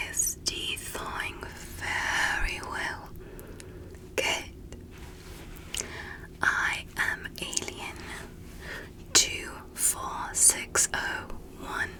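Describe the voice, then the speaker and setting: A woman voicing a gentle alien doctor in an ASMR roleplay, speaking slowly and soothingly in a soft, breathy whisper. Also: poor audio. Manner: slow, deliberate, soothing, polite. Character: gentle, reassuring alien doctor giving a medical exam. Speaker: medical examiner.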